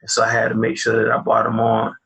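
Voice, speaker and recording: A man's voice: lowish voice, completely flat delivery, fast, vocal fry, a bit bright. A man hosting a podcast, chatting casually and joking with his guest. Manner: playful, joking banter with guest. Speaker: podcast host. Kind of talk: casual interview chat.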